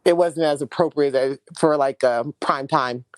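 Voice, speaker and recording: A woman's voice: a bass voice, normal speed, no room sound. Chatty woman with a Houston accent. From Houston, Texas. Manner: animated, candid, laughs often. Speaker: podcast co-host. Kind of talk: casual chat.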